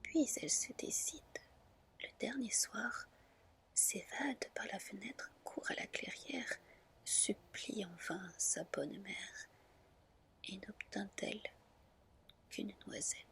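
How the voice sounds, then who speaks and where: A woman storyteller whispers a fairy tale in ASMR style. Her pace is slow and deliberate. The hushed voice is gentle yet crisp and bright at the edges. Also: medium pitch, lively intonation.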